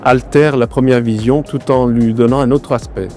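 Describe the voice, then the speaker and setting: A man's voice: medium pitch, fairly even intonation, moderate pace, mellow, faint music behind. A man, born in Argentina and raised in France, answering interview questions about his artwork with thoughtful, hesitant phrasing. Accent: no regional accent. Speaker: exhibiting artist, interview guest. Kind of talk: casual interview at an art fair.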